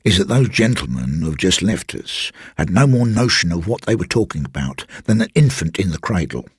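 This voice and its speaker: A man voicing a shabby, middle-aged former gentleman's servant in a story reading, talking in an insistent, respectful, confiding manner. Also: deep voice, big pitch swings, brisk, breathy edge, dry recording, studio quality, heavily acted. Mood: insistent, knowing. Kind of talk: dramatised literary reading.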